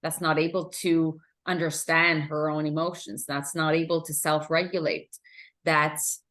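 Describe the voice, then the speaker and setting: A woman's voice: deep voice, little pitch movement, a little slow, fairly bright tone. A woman from Montreal whose first language is French, talking candidly as a guest coach in an interview, with fillers and restarts. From Montreal, Quebec, Canada. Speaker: guest, emotional intelligence coach. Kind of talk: conversational interview.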